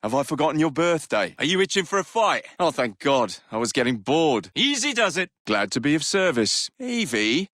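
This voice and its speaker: An actor voicing a brash, playful young Victorian London gang leader in a video game, with an English accent and a teasing, roguish manner that turns to clipped commands. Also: male voice, on the high side, sing-song, moderate pace, hardly any pauses, a bit bright, no echo, clean studio sound. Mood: brisk, commanding.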